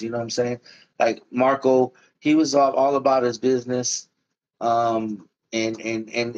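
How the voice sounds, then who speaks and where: A man in a relaxed, casual interview, talking about his music in long, loose sentences. He throws in "man" and "bro" as he goes. The recording sounds a little dull and lo-fi. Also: medium pitch. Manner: laid-back, talks in loose run-on sentences with "man" and "bro". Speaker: musician guest.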